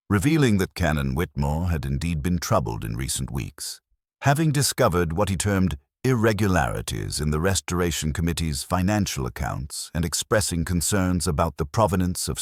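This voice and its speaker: A man reading a scripted mystery story as narrator, in a formal, measured literary manner. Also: extremely low pitch, no echo, studio quality. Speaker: audiobook narrator.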